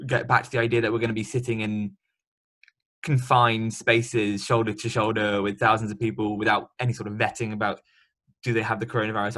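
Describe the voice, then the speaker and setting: A man's voice: mid-range voice, fairly bright tone. Man, interviewer, chatty, loose, mid-range voice. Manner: chatty, loose, with many ums and likes. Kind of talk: remote interview.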